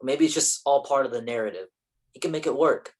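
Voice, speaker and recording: A woman's voice: very deep voice, fairly quick, darkish tone, breathy edge. A woman in a staged play reading, playing a defensive, ambitious male film actor with a casual, profane manner. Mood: casually defiant.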